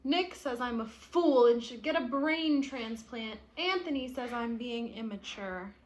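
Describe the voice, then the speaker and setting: A woman's voice: on the high side, animated, measured pace. A woman reading the part of a stubborn, indignant young boy from a picture book, with emphatic delivery. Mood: sulky. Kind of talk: picture-book read-aloud.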